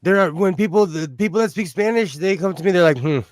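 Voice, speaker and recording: A middle-aged man hosting a casual live stream, chatting and laughing often with quick asides. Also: high-pitched. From Mexican-American family. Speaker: livestream host.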